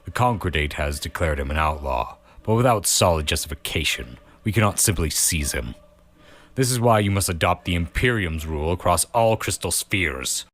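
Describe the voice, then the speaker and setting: A man's voice: low voice, animated, normal speed, a bit bright. A man playing a stern, authoritative imperial official from space fantasy, speaking formally and resolutely. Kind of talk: dramatic character speech.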